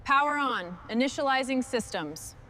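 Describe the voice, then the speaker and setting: A woman's voice: sits high, expressive, normal speed, sharp tone, slight background noise, low-quality recording. A woman giving clipped, approving commentary to herself while working on a vehicle restoration. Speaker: restorer narrating own work. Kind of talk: short task commentary during vehicle restoration.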